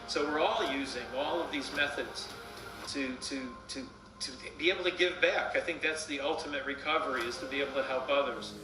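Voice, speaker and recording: A man's voice: medium pitch, brisk. Man giving testimony in a roomy space. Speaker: mental health advocate with lived experience. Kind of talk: personal testimony, unscripted. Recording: roomy, distant, music underneath.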